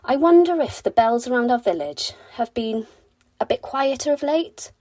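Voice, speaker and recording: A woman voicing a motherly gnome who speaks tactfully and in measured phrases to a group, in a children's storybook. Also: fairly high pitch, animated, darkish tone, no room sound, voice pushed up. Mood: thoughtful.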